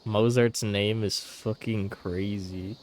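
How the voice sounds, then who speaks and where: A man's voice: fairly low pitch, steady tone, takes it slowly, crowd noise. A man chatting casually and off the cuff as he streams a game. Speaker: gaming streamer. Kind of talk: casual off-the-cuff chat while gaming. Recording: faint game music behind.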